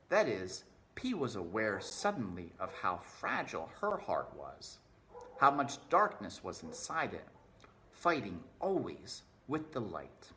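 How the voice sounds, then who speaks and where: A man reading a children's novel aloud with deliberate, dramatic expression, addressing the listener directly. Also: lowish voice, fairly bright tone, slight background noise. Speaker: storybook reader.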